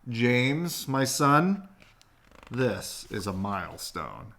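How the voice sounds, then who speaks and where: A man's voice: mid-range voice, big pitch swings, drawn-out words, bright, forceful tone, full character voice. A man voicing a formal, grandly serious father addressing his young son in a picture book. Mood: solemn, formal.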